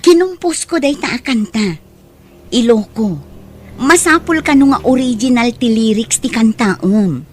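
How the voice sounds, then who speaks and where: A woman with an Ilocano accent playing a persuasive, caring aunt in an audio drama, with an expressive, coaxing delivery. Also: a little high, unhurried, a little hiss or hum. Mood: encouraging. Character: Auntie Mikaela, persuasive, caring female relative.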